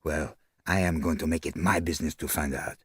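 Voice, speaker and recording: A man voicing a courteous, self-assured detective in an audiobook, formal and deliberate, with a French accent. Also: extremely low pitch, steady tone, husky, no room sound, studio quality, voice pushed down. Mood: insistent.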